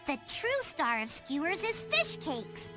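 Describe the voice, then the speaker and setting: A woman's voice: sits high, sing-song, a little slow. A woman voicing a spirited, cocky young pirate girl in a video game. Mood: confident. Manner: pirate-style exclamations, bold. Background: background music.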